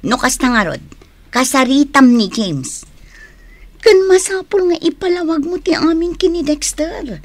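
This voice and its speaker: A woman's voice: high-pitched, expressive, a little slow, no echo. An actress with a Filipino accent playing a frank, concerned young female friend in a radio drama. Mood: concerned, reproving. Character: concerned, frank young woman, the heroine's confidante.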